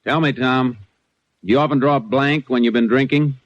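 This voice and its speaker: An actor playing a calm, laconic Texas Ranger with an American accent, measured and matter-of-fact in old radio drama style. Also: male voice, medium pitch, no echo, lightly acted. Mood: calm questioning. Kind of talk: 1940s-50s radio drama acting. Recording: dull vintage radio recording.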